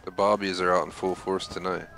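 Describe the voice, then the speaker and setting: A man's voice: sits low, flat delivery, brisk, background noise. A man giving casual gameplay commentary as a streamer, thinking aloud and explaining as he goes. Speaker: gaming streamer. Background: loud game music.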